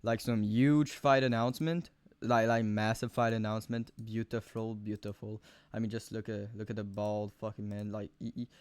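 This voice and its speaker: A young man with a thick Quebec French accent giving rambling, profane fight predictions on camera, full of fillers and sudden animated outbursts. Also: fairly low pitch, normal speed, clean tone, no echo. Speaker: MMA video host. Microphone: camera mic, close.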